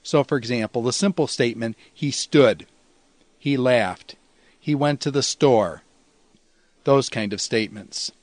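A man's voice: mid-range voice, measured pace, long pauses, no room sound. Man teaching in a very dry recording. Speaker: teacher of New Testament Greek. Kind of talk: instructional lecture, explaining with examples.

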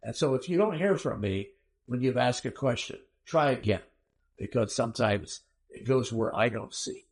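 A man delivers a recorded Bible lecture at a middle pitch, his voice gravelly with vocal fry. He sounds slightly hoarse. He teaches in a measured, emphatic way and poses rhetorical questions to his listeners. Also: animated. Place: small room, not a classroom.

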